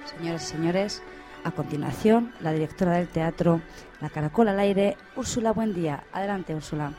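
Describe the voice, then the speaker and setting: A composed female radio presenter, played by an actress in a spoof radio drama. Her voice is rather low and dark, her delivery formal and polite with a catty edge. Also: normal speed, faint music behind, close to an everyday voice. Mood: solemn announcing. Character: composed female radio music-show presenter, sweetly catty toward rival. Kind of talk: radio theatre, mock announcing.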